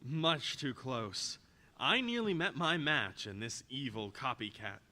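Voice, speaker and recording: An actor playing a composed, confident gentleman hero in 1930s radio drama style, explaining things crisply. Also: mid-range voice, animated, a little slow. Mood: composed, relieved.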